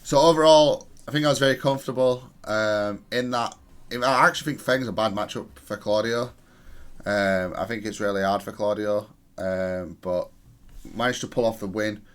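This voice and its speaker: A man's voice: fairly low pitch, normal speed, fairly bright tone, smooth. A man reviewing his own play in analytical gameplay commentary, speaking in a matter-of-fact, self-critical way. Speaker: gamer reviewing own match.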